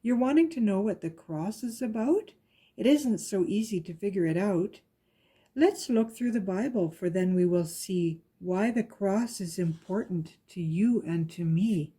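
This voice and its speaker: A woman reading a rhyming children's picture book aloud with a measured, sing-song delivery. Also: mid-range voice, expressive, mellow, husky. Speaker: guest story reader.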